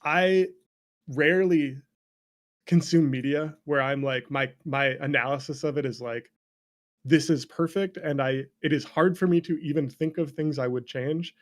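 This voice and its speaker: A man's voice: fairly high pitch, normal speed. A man in a casual, opinionated chat about video games, rambling and swearing freely as an interview guest. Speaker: interview guest, game developer.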